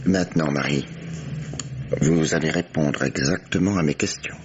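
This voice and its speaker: A dull, noisy old radio recording carries a male police commissioner with a low voice. He questions in a measured, patient way, courteous yet firm, in the manner of a mystery play. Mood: patient, probing. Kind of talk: French radio mystery drama acting.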